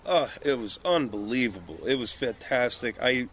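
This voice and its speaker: A man's voice: medium pitch, lively intonation, normal speed, a crowd in the background. A man chatting casually as a podcast guest on a porch, joking and self-deprecating in an informal way. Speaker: podcast guest, independent filmmaker. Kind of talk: casual conversational podcast chat. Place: outdoors on a porch.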